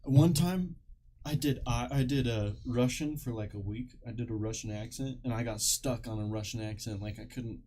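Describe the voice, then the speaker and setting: A man's voice: mid-range voice, normal speed, warm tone, a little hiss or hum. A man on a podcast chatting casually, swearing often and laughing a lot. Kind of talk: casual chat.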